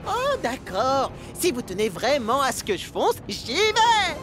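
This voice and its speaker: A woman's voice: sits high, sing-song, a little slow. A woman voicing a cheeky, mischievous young male locomotive in a children's cartoon. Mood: teasing. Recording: music behind the voice.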